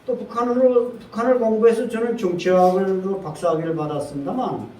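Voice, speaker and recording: A man in his sixties, born in North Korea, lectures to a seated audience in an earnest, emphatic way. His voice is fairly high and mellow. He stands back from the mic in an echoey room with faint noise behind him. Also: fairly even intonation, a little fast, recorded from a distance. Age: 60s. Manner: earnest, emphatic, with frequent fillers. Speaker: guest speaker.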